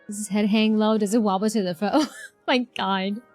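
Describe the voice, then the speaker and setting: A woman's voice: mid-range voice, steady tone, fairly quick, a little mellow, faint music behind. A woman livestreaming a game, chatting casually with viewers in an effusive, exclamatory way. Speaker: game livestreamer reacting to a quest. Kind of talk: casual livestream chat and reactions.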